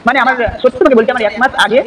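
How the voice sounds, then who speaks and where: A man's voice: very high voice, steady tone, very fast, sharp tone. A young man making an improvised prank phone call, speaking hesitantly and repeating himself. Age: young adult. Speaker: prank caller. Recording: distant mic, faint background noise.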